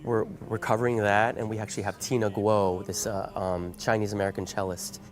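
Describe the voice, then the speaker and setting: A man's voice: fairly low pitch, level tone, fairly quick. A man from the Philippines, Tagalog his first language, chatting as a guest singer in an interview, with many fillers and restarts. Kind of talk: casual interview chat.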